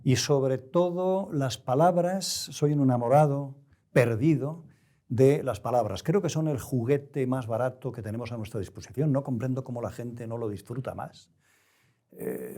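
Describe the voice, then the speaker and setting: Interview guest: older male, European Spanish accent, dark relaxed timbre, deliberate, erudite, searching for the exact word. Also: mid-range voice. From Spain. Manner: deliberate, halting, with erudite careful word choice. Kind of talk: reflective interview conversation.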